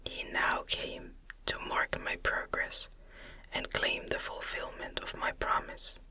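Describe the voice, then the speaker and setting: A narrator reading a classic novel in a soft, breathy ASMR whisper, with a soothing and deliberate cadence. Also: very high voice. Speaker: audiobook narrator.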